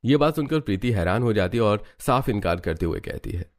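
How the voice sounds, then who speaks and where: A man's voice: lowish voice, animated, brisk. A man narrating a scripted moral story as its storyteller.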